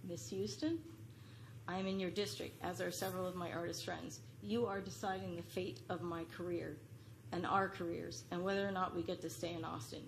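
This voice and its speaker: A woman's voice: lowish voice, steady tone, brisk, creaky, slight background noise, low-quality recording. A middle-aged woman reads an earnest, pleading prepared statement as a public commenter at a podium microphone in a large council chamber. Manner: earnest, pleading, read from notes.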